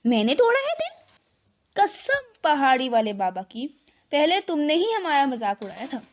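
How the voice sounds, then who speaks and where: A woman's voice: sits high, big pitch swings, normal speed. A woman voicing a teasing, argumentative male village shopkeeper in a children's cartoon. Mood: indignant. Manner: argumentative, mocking.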